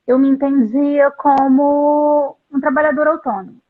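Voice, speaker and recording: A middle-aged woman, a translator, telling her story in an interview, earnest and halting with frequent restarts. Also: high voice, steady tone. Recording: tinny phone-like line.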